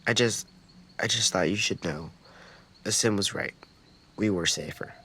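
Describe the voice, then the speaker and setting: A man's voice: lowish voice, unhurried, sharp tone. A man playing an earnest, thoughtful young boy survivor in a video game, speaking hesitantly. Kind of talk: naturalistic video game voice acting. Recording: noisy outdoor ambience with crickets.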